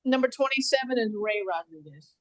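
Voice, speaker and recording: A woman public defender addresses the judge directly and plainly. Her voice is a bit high and the sound is dry and close. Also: lively intonation, brisk, no room sound. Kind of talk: courtroom exchange.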